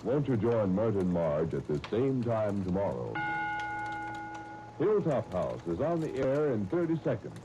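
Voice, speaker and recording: A man's voice: fairly low pitch, big pitch swings, a little slow, music in the background. A man working as a radio announcer, reading scripted copy in 1930s style. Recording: muffled, hissy 1930s radio disc.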